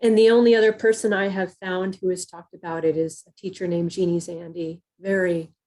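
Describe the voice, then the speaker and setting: A woman calling in with a question, speaking in a halting way with many pauses. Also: lowish voice, flat delivery, warm tone. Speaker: caller asking a question. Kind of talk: live group call question.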